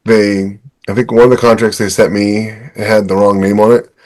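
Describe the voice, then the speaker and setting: A man's voice: fairly low pitch, little pitch movement, normal speed, darkish tone. A man co-hosting a casual podcast, speaking emphatically and jokingly acting out bits of quoted dialogue. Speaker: podcast co-host and author. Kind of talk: casual opinionated chat.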